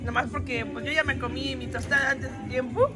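A woman's voice: high-pitched, steady tone, a little fast. A young Mexican woman in her twenties, vlogging from eateries on a phone held at arm's length, teasing and joking with friends. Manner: teasing, playful, jokey. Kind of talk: casual vlog chat. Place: outdoor restaurants and eateries. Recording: loud music and crowd chatter behind.

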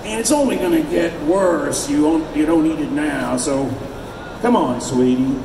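A man's voice: a little high, unhurried, creaky. A man voicing a menacing, irritable male villain from a story, cajoling or curt. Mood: coaxing. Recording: roomy, distant hall recording with loud noise.

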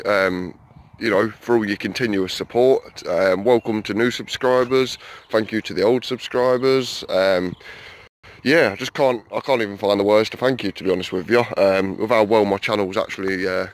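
Low-voiced man rambling on his allotment. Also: normal speed. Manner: chatty, rambling, many "um"s and asides to viewers. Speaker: allotment vlogger. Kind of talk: casual walk-around vlog. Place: outdoors on an allotment and inside a greenhouse. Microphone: handheld phone camera, filming while walking.

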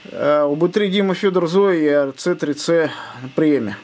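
A man chats casually as a ham radio operator. His voice sits a little high, and he spells call signs word by word. Faint noise runs under the recording. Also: normal speed, darkish tone. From Russia.

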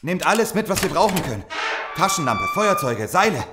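Animated man, mid pitch, acting resolute wary survivor, planner, audio drama, faint background noise. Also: brisk. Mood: determined. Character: determined, distrustful male survivor, the group's planner.

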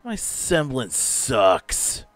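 A man's voice: mid-range voice, sing-song, drawn-out words, faint music behind. Give me a man playing a griping young slacker, voice swinging around. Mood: worried, griping. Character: sardonic, anxious young slacker man in comic dub. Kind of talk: animated comic-dub voice acting.

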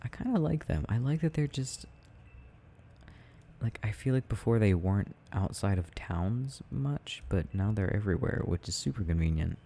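A man playing a tender, doting boyfriend in an intimate, rambling ASMR roleplay, murmuring softly and affectionately with gentle teasing. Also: fairly low pitch, animated, normal speed, vocal fry, slight background noise. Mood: relaxed, gently rambling. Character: tender, doting boyfriend soothing his sleepy partner at night.

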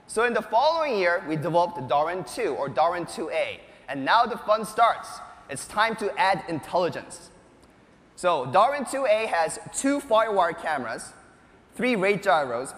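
An enthusiastic man in his thirties giving a live keynote tech demonstration as a presenter on a large stage. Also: high-pitched. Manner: enthusiastic, animated showman. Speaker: presenter, robotics researcher. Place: large conference stage, indoors. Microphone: lapel mic. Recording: echoey hall with long reverb.

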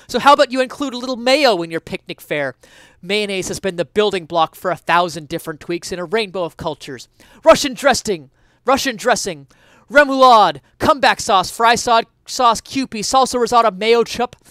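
A man's voice: high voice, a bit bright, no room sound. A young man giving a dramatic reading of an essay in a theatrical, mock-indignant, emphatic manner on a headset mic. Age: young adult. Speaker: streamer reading an article aloud. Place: small indoor room at home.

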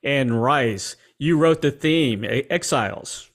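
Middle-aged host from Washington. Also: male voice, mid-range voice. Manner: affable, quick backchannels. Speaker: interview show host. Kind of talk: casual video-call interview. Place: home studio with posters. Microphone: studio mic with headphones.

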